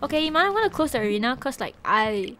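A woman's voice: high voice, big pitch swings, fast talker, noisy background. Giggly young Singaporean woman streaming. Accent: Singaporean English. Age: young adult. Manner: chatty and giggly, trailing off and restarting. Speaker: Twitch streamer. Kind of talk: casual live-stream chat with viewers. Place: small bedroom, indoors. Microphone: desk microphone with headset.

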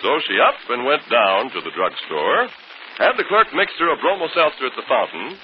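A male 1940s radio announcer tells a folksy sales story. His intonation is very lively, and the old broadcast is muffled with faint noise. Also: mid-range voice, brisk. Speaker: commercial announcer.

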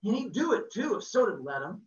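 A man's voice: lowish voice, fairly even intonation, fast talker, pushed and bright, distant mic, highs cut off, pitched below the performer's own voice. A man reading aloud, voicing a bitter, hot-tempered teenage boy who speaks in passionate outbursts.

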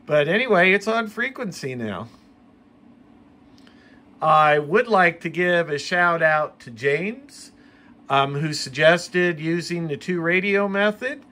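A man with an American accent narrates a casual hobby how-to at home. His voice sits a little high and has a bright, forward edge. He talks plainly, as the host of a homemade video. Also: expressive, slow. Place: room at home.

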